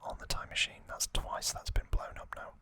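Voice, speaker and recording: A man softly whispering an ASMR recap in a breathy, unvoiced voice, with an unhurried, halting delivery and pauses. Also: dry recording. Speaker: ASMR host.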